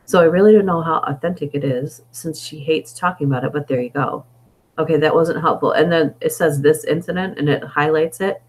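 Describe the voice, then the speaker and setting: A woman hosts a casual live stream, reading stories and chatting between them. Her voice is hoarse, as if it is wearing out. She thinks aloud and wanders from point to point. She speaks into an inexpensive desktop microphone. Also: low-pitched, a little fast, rough recording. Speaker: story reader and live streamer. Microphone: budget desktop microphone.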